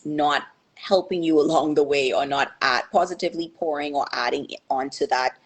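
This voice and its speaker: Low husky-voiced young woman, thoughtful. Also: moderate pace. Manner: thoughtful, hesitant, many fillers and restarts. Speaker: guest. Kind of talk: reflective interview answers.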